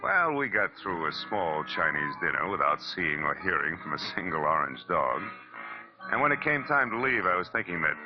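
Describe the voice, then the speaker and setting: Deep-voiced hard-boiled radio detective. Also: male voice. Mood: wry, rueful. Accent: American. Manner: clipped, slangy, deadpan. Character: wry, world-weary male private detective. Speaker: lead actor and narrator of a radio detective series. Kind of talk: 1940s radio acting, hard-boiled first-person narration. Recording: dull vintage radio recording. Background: dramatic radio music.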